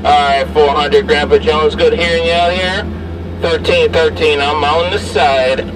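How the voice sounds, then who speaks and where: A man's voice: a little high, steady tone, a little slow, distant mic. A man doing casual, slangy CB radio chat, repeating handles and call-outs. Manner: repetitive, slangy radio patter. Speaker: CB radio operator. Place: inside a parked or moving SUV. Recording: noisy car interior, away from mic.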